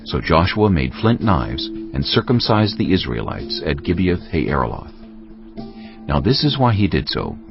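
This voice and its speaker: Very deep, gravelly male Bible narrator. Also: big pitch swings, measured pace. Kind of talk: scripted narration of dramatized audio Bible. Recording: dull audio, music underneath.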